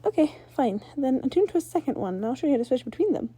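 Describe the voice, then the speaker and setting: Woman doing a cocky rocker ghost girl for a story, high pitched, blunt n teasing, soft mellow tone. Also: very animated, quick pace, husky, heavily acted. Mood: insistent. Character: brash cocky teenage rock-star ghost girl.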